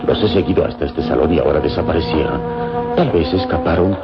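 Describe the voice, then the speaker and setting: A man playing a heroic, vigilant adventurer in a radio serial, speaking in a grave, authoritative, measured manner. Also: very deep voice, over loud music. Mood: puzzled, alert. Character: heroic, vigilant adventurer, calm and commanding protector. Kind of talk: old radio serial acting. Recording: muffled, noisy old radio recording.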